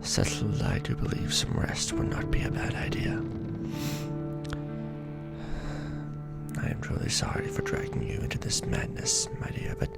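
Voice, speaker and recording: A man voicing a weary, tender aristocratic vampire lord in an ASMR romance roleplay, murmuring softly and formally, close to the microphone. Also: fairly quick, close to an everyday voice. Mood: tender, apologetic. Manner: soft, breathy murmuring, formal archaic phrasing. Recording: background music bed.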